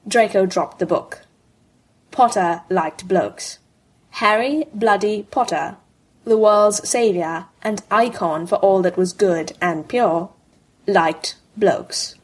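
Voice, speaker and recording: A woman with a husky voice reading scripted fan fiction narration. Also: mid-range voice, takes it slowly.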